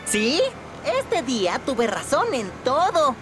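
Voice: sits high, sing-song, slow pace, exaggerated voice. A performer voicing a cocky, stubborn, mischievous young boy with a Latin American Spanish accent in a children's cartoon dub. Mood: smug, teasing. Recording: loud music behind the voice.

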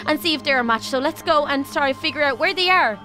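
A woman's voice: high voice, fast talker, pushed and bright, soft background music. A woman playing an earnest, bossy young princess sister in a children's game roleplay. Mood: serious, instructing. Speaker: roleplay channel performer.

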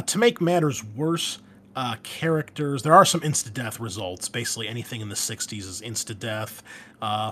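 A man hosting as game master, explaining tabletop game rules in a casual, conversational way. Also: on the low side. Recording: clear steady background noise.

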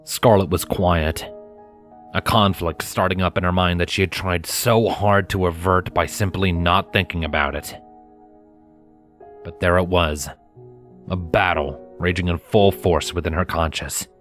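A man narrating scripted fan fiction in a measured, dramatic storytelling manner. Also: low-pitched, steady tone, a bit bright, soft background music.

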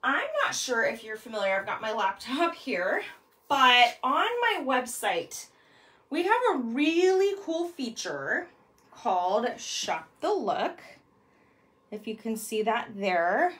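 A woman's voice: fairly high pitch, sing-song, takes it slowly, a bit bright, recorded from a distance. A woman in her thirties chattily demonstrating bags in a product vlog, with frequent ums. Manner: chatty, upbeat, lots of ums. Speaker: bag consultant. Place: small room indoors. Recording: echoey room, mic set back.

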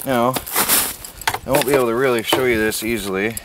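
A man's voice: medium pitch, brisk. Man muttering through a job, noisy recording. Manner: muttering to himself in short phrases. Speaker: DIY homestead vlogger. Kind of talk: casual hands-on demonstration, talking while working. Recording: noisy amateur camera sound with clicks.

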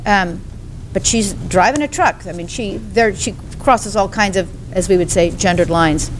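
A woman panelist at an academic conference, answering thoughtfully with halting restarts. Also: mid-range voice, noisy background. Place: hotel conference room.

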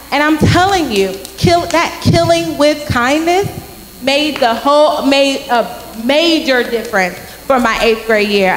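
A young woman giving a youth message at church, telling a story with lively acted-out voices into a handheld mic. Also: high-pitched, slight background noise. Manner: animated storytelling, acting out quoted voices. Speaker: youth speaker. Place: large church sanctuary with congregation. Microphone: handheld microphone. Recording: echoey sanctuary from a far camera.

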